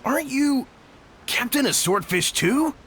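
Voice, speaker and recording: An actor playing an eager, admiring, talkative foot soldier in a video game, with an effusive manner. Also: male voice, very high-pitched, animated, unhurried, fairly bright tone, slight background noise, full character voice. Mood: excited, grateful. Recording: game music underneath.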